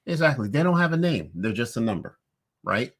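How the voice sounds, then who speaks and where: A man co-hosting a live-streamed podcast, recapping a show episode in a loose, animated way with lots of restarts and "you know.". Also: medium pitch, fairly quick. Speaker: podcast co-host.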